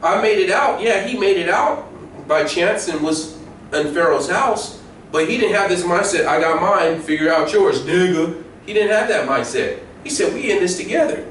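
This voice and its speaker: An American man delivers an informal sermon in a fairly high voice. He moves between scripture reading and emphatic, colloquial commentary, repeating phrases for stress. The recording is heavily echoey and distant, as in a bare room. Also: lively intonation, moderate pace, fairly bright tone. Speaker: preacher. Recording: very echoey room, distant mic, faint noise.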